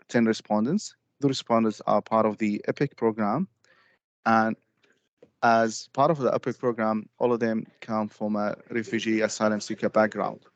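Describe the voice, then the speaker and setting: Low-voiced man presenting to a class. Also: dry recording, muffled recording. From Syria. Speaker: student presenter. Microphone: video call.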